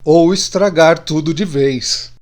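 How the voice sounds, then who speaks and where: A man's voice: a little high, takes it slowly, darkish tone. A man with a Brazilian accent playing a slightly drunk middle-aged friend who confides plainly in a radio drama. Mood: goading.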